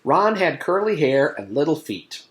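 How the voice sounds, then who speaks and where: A man's voice: a little high, big pitch swings, unhurried. A man who reads a children's storybook aloud as a teacher would to a young class. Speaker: teacher reading aloud to a third grade class. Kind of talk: animated children's storybook narration.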